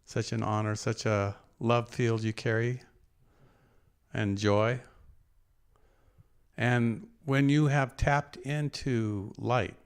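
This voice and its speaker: A man's voice: medium pitch, creaky. A man hosting a spiritual workshop welcomes the guest in earnest, poetic phrases. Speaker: workshop host.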